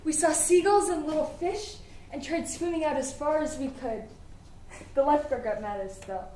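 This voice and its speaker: A woman's voice: high voice, normal speed, warm tone, lightly acted. A young woman on stage playing a tender, forgetful mother who reads out wistful diary entries to her daughter. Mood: fond, wistful. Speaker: student actor in a one-act play. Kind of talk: dramatic monologue, reading diary entries. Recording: echoey hall, distant mic, faint noise.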